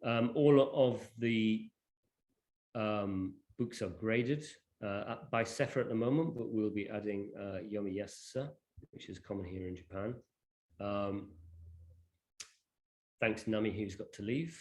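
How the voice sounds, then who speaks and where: A man presenting an informal live demonstration of educational software, with a halting, hesitant delivery. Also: fairly low pitch.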